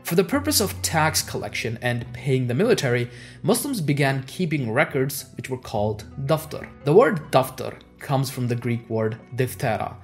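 A man whose first language is Urdu, giving a measured, scripted history narration and stressing the transition words. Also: mid-range voice, animated, soft background music.

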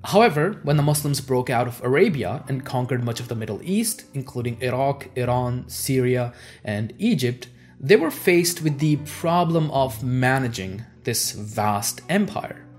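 A man whose first language is Urdu, giving a measured, scripted history narration and stressing the transition words. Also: mid-range voice, lively intonation, soft background music.

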